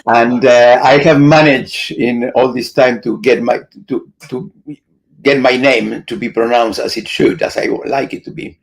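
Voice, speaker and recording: An older man from Argentina, speaking slowly and precisely as a guest. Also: mid-range voice, steady tone, gravelly, poor audio. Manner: slow, precise, insistent. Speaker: guest artist.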